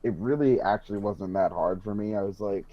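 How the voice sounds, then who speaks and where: A man's voice: lowish voice, a little fast, highs cut off. A man co-hosting a hobby podcast, chatting casually with emphatic, sweary, rambling enthusiasm. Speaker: podcast co-host.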